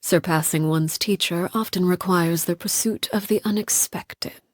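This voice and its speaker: An actress playing a cold, composed, menacing female commander in a video game, speaking in a measured, formal, politely threatening way with a breathy voice. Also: fairly low pitch, dry recording, clean studio sound, close to an everyday voice. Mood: reflective, detached. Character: cold, composed, menacing woman commander called Father by orphans. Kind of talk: scripted video game character voice lines.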